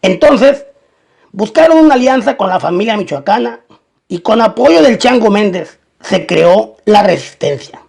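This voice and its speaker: A male narrator tells a scripted crime story in documentary style. His voice sits high for a man and has a strong gravelly fry running through it. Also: animated, measured pace, a little mellow.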